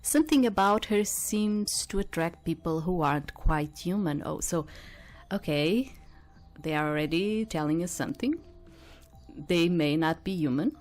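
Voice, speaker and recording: A woman giving casual game commentary and reading aloud, thinking out loud in a hesitant, rambling way. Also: lowish voice, normal speed, soft background music. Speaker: host of a game playthrough.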